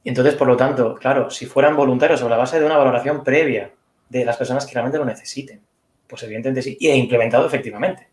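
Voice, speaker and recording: Man, conference speaker, quick delivery, roomy video call sound. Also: medium pitch. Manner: thoughtful, hedging, explains with examples. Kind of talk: online Q&A answer.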